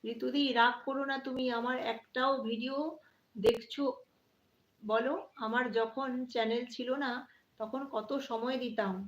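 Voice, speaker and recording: A woman's voice: on the high side, fairly even intonation, measured pace. A woman chats casually as a live stream host, addressing viewers directly and often repeating her phrases. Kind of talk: casual live chat, greeting viewers by name. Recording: dull lo-fi, roomy echo, faint noise.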